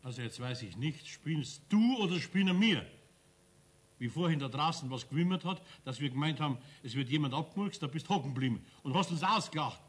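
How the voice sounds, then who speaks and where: A man's voice: on the high side, animated, fairly quick, breathy edge. A man playing a good-humored but grumbly card-playing friend with a folksy Bavarian accent in a 1970s radio play. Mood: grumbling. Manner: folksy, colloquial.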